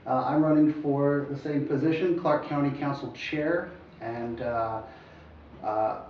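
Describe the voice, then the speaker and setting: A man's voice: mid-range voice, unhurried, a little hiss or hum. Hesitant man in an echoey room. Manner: hesitant, many filler sounds. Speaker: candidate on a panel. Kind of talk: panel self-introduction. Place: public meeting room. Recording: echoey room from far off, dull.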